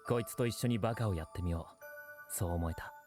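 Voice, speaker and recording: A man's voice: low voice, racing, a little mellow, lightly acted. A man voicing a reflective teenage boy narrating memories in an anime monologue. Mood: nostalgic, fond. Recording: music under anime voice-over.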